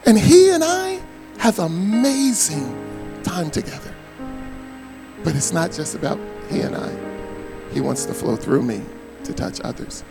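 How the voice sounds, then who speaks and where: An older man, a pastor preaching a sermon, his voice hoarse, swinging between loud bursts and soft asides. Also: high-pitched, expressive, a little slow, pauses often, music in the background.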